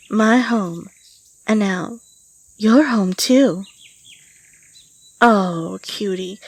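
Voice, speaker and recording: A woman's voice: fairly high pitch, darkish tone, full character voice. A woman voicing a flirtatious, possessive female fae in a scripted audio roleplay, coy and teasing. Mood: inviting, sly, then possessive, gloating.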